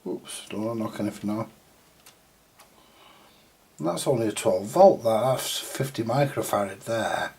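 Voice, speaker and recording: A man giving a casual, halting, mildly sweary thinking-aloud commentary as he does hands-on repair work, often muttering to himself. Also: fairly low pitch, animated, vocal fry, darkish tone. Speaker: repairer presenting a hands-on video. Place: indoor repair workbench.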